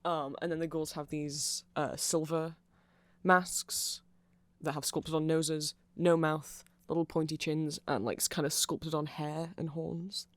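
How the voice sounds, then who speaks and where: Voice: mid-range voice, level tone, a little slow, fairly bright tone. A person giving a chatty, rambling ASMR-style fan explainer in a playful, informal way. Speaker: ASMR creator explaining band lore.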